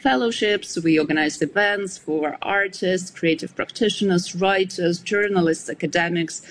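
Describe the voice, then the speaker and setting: A woman's voice: on the low side, moderate pace, slight background noise, rough recording. A woman from Ukraine with a Ukrainian accent, giving considered answers as an interview guest. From Zaporizhia, Ukraine. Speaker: interview guest, founder of a research organization.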